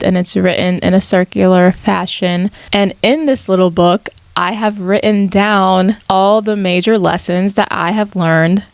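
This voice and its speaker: Young woman in her twenties just chatting on her podcast, smooth voice, really dry close sound. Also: mid-range voice, no room sound. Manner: chatty, informal, reflective. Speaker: podcast host. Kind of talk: casual solo podcast monologue.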